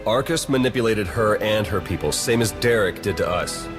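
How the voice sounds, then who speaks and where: Low-voiced wry spy played by a man, music behind. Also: expressive, normal speed, lightly acted. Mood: matter-of-fact. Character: wry, pragmatic male spy agent, a young adult. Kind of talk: video game voice acting. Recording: loud game music underneath.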